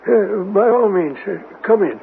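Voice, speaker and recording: An actor playing a nervous, polite uncle who is eager to reassure, in a radio drama. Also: male voice, sits high, expressive, measured pace, heavily acted. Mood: nervous, obliging. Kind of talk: 1970s radio drama acting. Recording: tinny band-limited old radio recording, clear hiss.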